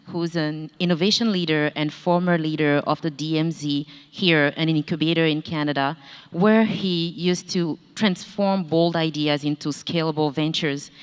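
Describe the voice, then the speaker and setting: A woman's voice: low voice, clear, resonant tone. A woman from Gabon with a Gabonese French accent, moderating a conference panel in a formal, careful manner. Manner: formal, reads introductions carefully. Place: large conference hall. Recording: echoey hall, long reverb.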